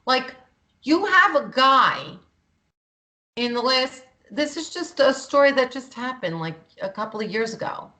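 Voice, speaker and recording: A woman's voice: sits high, big pitch swings, measured pace, bright, forceful tone. A woman co-hosting a talk show, speaking bluntly and passionately, swearing freely. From Greek heritage. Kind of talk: heated political talk.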